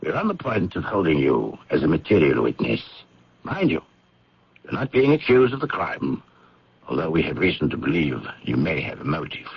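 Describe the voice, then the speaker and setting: An actor playing a stern, officious police inspector with formal, insinuating speech in an old-time radio drama. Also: male voice, very deep voice, wildly swooping pitch, moderate pace, gravelly, breathy edge, dry recording, heavily acted. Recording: dull lo-fi radio recording.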